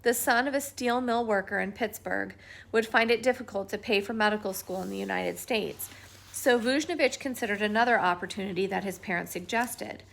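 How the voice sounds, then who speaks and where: A woman reading a history book aloud at home, at an unhurried pace and with small restarts. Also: medium pitch, creaky, a bit bright. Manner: firm, scolding talk to a pet between passages. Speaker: reader of a book.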